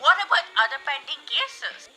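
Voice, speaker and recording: A woman's voice: sits high, animated, moderate pace, bright, forceful tone, soft background music, full character voice. A young woman acting in a radio drama as an inquisitive, dramatic 1920s newspaper reporter. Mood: eager, questioning. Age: teenager.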